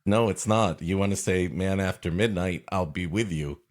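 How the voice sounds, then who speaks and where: A man's voice: low-pitched, normal speed. A man co-hosting a comedy podcast, cutting in with dry wisecracking asides. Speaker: co-host.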